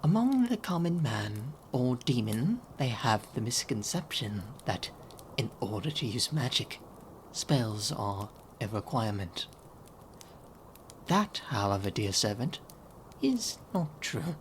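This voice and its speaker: Guy doing a fancy owl demon prince, slow whispery asmr talk, theatrical, mid pitch. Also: very animated, slight background noise. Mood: gently lecturing. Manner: soft-spoken, theatrical, drifting into whispers. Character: regal male owl demon prince, gentle and grandiose. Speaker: voice actor in fan audio roleplay. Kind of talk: ASMR audio roleplay.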